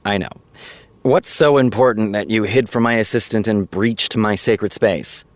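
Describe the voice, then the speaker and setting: Actor as dry, low-voiced wry showrunner man. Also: a little slow, no echo, lightly acted. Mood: dry, sarcastic. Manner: dry, deadpan sarcasm. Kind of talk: naturalistic audio drama dialogue.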